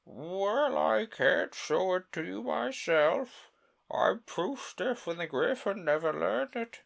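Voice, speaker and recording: A reader voicing a sorrowful, sobbing male Mock Turtle in a storybook reading, with a slow, mournful drawl. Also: medium pitch, lively intonation, creaky, pitched above the performer's own voice, heavily acted.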